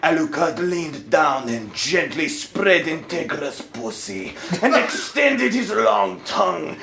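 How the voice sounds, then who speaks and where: A man's voice: on the high side, lively intonation, slow pace, gravelly, fairly bright tone, reverberant, slight background noise. A man reading a story aloud as a theatrical comic performance. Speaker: storyteller in a comedy troupe.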